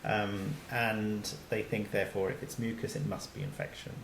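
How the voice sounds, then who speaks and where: Low-voiced man giving a slide lecture. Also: flat delivery, moderate pace, gravelly, roomy sound, a little hiss or hum, poor audio. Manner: conversational, with many fillers and restarts.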